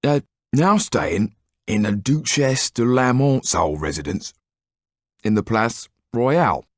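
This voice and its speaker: A man doing a gruff, greedy snoop. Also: on the low side, animated, takes it slowly, a little mellow, no echo, clean studio sound, voice pushed up. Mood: gossipy. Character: big, sweaty, greedy young male snoop.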